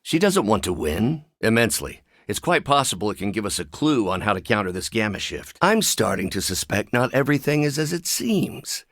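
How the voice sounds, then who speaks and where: A brilliant, mild-mannered scientist in a video game. His middle-aged voice sits fairly low and moves expressively. He is measured and articulate, wry but weighed down by guilt, and the sound is very dry. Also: very animated, fairly bright tone, no room sound, full character voice. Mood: guarded, weary.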